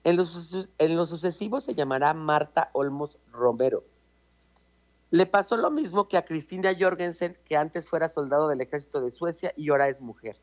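A Mexican man with a Mexican Spanish accent and a fairly high voice, chatting casually as he tells a story. He explains with asides and repeats his words. The sound is dull and lo-fi. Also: moderate pace. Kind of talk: casual chat, reading an old news clipping aloud.

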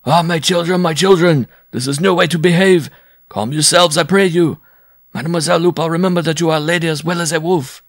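This is a man playing a fretful, fatherly circus owner from a storybook. The voice is pushed high over a dry recording, forever soothing, fawning and pleading for peace. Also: a little fast, dry recording, lightly acted. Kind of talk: theatrical audiobook character voice.

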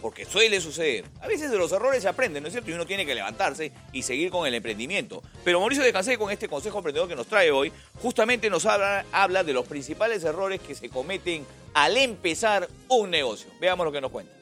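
A man's voice: sits high, big pitch swings, fast, few pauses, pushed and bright, faint music behind. A man co-hosting a morning show, chatting casually and voicing opinions in a colloquial way. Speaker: co-host. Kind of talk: casual chat.

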